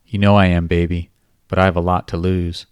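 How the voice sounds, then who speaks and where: A man voicing a grown, smooth-talking man who coaxes and soothes. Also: low-pitched, flat delivery, a little fast, dry recording, clean studio sound, close to an everyday voice. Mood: coaxing. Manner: coaxing, soothing.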